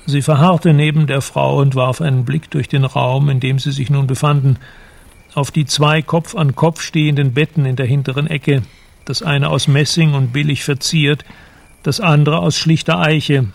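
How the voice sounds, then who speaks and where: A man giving measured, precise literary narration for a radio drama, with a creaky voice. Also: a little high, steady tone.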